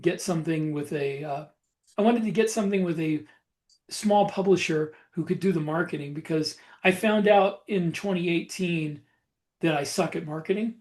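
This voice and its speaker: A man co-hosting a podcast in casual conversation, halting at times as he repeats and rephrases his points. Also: a little high, darkish tone. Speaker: podcast co-host and author.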